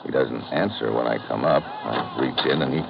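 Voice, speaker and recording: A man's voice: a bass voice, moderate pace, creaky, background noise, exaggerated voice. An actor playing a tough American agent in a 1950s radio drama, narrating his case in a clipped, dry manner. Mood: terse. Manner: clipped, dry, hard-boiled. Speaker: lead and narrator. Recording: dull old radio broadcast with music.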